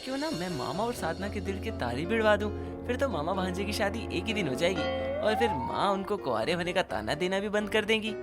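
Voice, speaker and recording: A woman's voice: mid-range voice, big pitch swings, a little fast, loud music behind the voice, natural voice. A woman voicing a determined, cheeky young man in a cartoon story, earnest and persuasive. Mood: teasing. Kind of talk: Hindi cartoon story voice acting.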